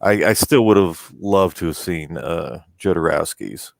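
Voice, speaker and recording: A man's voice: low-pitched, animated, unhurried, gravelly, no room sound, studio quality. A man co-hosting a geek culture panel in a casual, conversational style. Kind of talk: casual chat.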